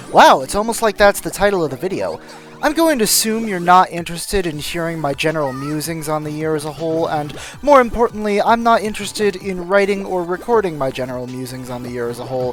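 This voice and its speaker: A narrator reading a scripted video game review as the host, with dry, self-deprecating humour. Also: medium pitch.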